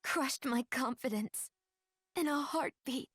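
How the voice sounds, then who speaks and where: A woman playing a bitter, self-pitying spider demon in an anime game, with a husky, resentful delivery. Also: high voice, takes it slowly, fairly bright tone, dry recording, clean studio sound, full character voice.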